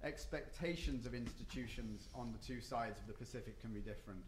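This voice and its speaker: A man's voice: mid-range voice, a little fast, roomy sound, slight background noise. A man giving a conference panel talk, hesitant, with frequent ums and restarts. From not Japan or the US. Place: hotel conference room.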